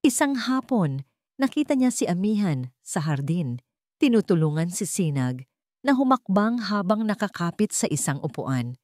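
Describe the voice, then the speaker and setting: A woman's voice: fairly low pitch, sing-song, normal speed, a little mellow, no room sound, studio quality. A woman narrator reading a dramatic scripted love story. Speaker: narrator of Tagalog love stories.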